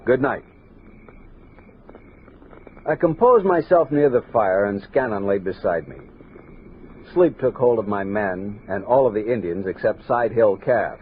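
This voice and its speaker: An actor playing a resolute, watchful army captain who narrates a western radio drama in measured, earnest tones. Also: male voice, on the low side, phone-quality sound. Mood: firm, friendly. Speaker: narrator and lead character. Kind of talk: 1960s western radio drama acting, first-person narration. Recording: noisy, band-limited 1960s radio recording.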